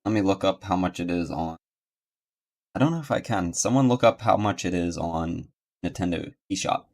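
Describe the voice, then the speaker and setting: A man's voice: low-pitched, expressive, normal speed. A man doing casual livestream game commentary, rambling and thinking aloud as he goes. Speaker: video game streamer and host. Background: game music.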